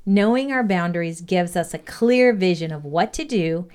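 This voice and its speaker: Woman reading out a leadership lesson from a script, voice kinda on the low side. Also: lively intonation, normal speed, darkish tone, clear, resonant tone. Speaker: reader of a leadership values lesson. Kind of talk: scripted instructional narration, read aloud.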